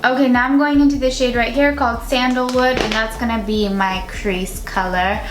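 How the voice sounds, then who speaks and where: A woman's voice: fairly high pitch, fairly even intonation, normal speed. A woman in her twenties chatting casually through a makeup tutorial, with a lot of "like" fillers. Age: 20s. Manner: chatty, uses "like" often. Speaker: beauty vlogger. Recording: echoey room with music behind.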